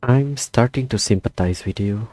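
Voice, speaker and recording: A woman's voice: a bass voice, normal speed, warm tone. A woman giving casual, hesitant, amused reactions while playing through a game story. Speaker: gamer reacting to a story quest. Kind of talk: casual reaction commentary over gameplay.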